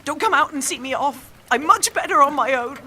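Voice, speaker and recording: A young woman playing a fragile, distraught, erratic young actress in a naturalistic audio drama, speaking in a halting, breathy, agitated way. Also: high voice, lively intonation, sharp tone, a little hiss or hum. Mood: panicked, pleading. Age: young adult.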